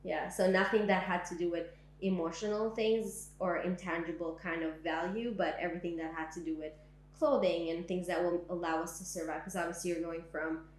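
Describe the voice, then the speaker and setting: A woman's voice: on the low side, a little hiss or hum. Young woman giving reflective testimony, echoey room. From Syria. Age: young adult. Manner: reflective, frequent "like" and "um". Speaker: interviewee. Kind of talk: oral history testimony. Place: indoors, living room.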